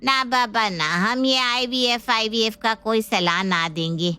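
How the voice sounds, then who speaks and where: A woman's voice: on the high side, a little slow, bright, forceful tone, smooth. A woman playing an outspoken, stubborn sister-in-law and mother of two who argues emphatically. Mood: argumentative. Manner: argumentative, emphatic. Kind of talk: Hindi family drama acting.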